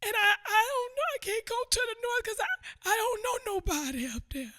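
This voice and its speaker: A woman's voice: very high-pitched, big pitch swings, brisk, bright, forceful tone, full character voice. A woman playing a desperate widowed mother. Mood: anguished. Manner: pleading, distraught, speaks in broken dialect. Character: newly widowed young Southern mother, desperate. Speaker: actor. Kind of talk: radio theatre acting.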